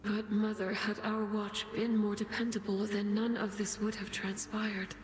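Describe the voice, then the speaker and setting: A woman's voice: medium pitch, measured pace, natural voice. Woman as restrained moon goddess, echoing. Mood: solemn, dutiful. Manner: restrained, formal, even. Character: dutiful, self-reproaching moon goddess, devoted daughter. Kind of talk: video game dramatic acting. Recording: echoey hall with music behind.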